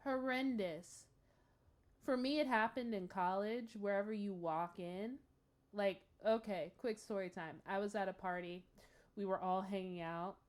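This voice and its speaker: A woman hosting a casual reaction show, confiding and expressive, with dramatic emphasis. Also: medium pitch, a little slow.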